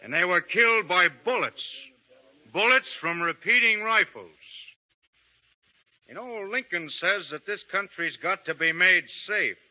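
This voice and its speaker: A cool, steely gunfighter. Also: male voice, high-pitched, expressive, a little slow. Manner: cool, dry, clipped. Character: steely frontier gunfighter, calm and resolute. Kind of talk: 1930s radio drama acting. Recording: dull 1930s radio broadcast.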